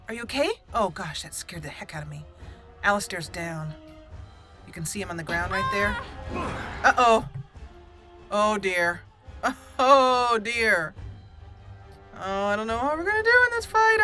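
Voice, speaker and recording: A woman hosting a game playthrough, fretfully talking through each move as she plays. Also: on the high side. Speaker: let's play host. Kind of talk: gameplay commentary.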